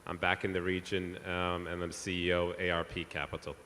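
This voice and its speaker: A man from Bahrain introduces himself in a very deep, flat yet bright and forceful voice. The hall adds a long reverb and faint ambience. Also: fairly quick, a little hiss or hum. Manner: plain, to the point, with fillers. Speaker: panelist, investment executive. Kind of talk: self-introduction on a panel.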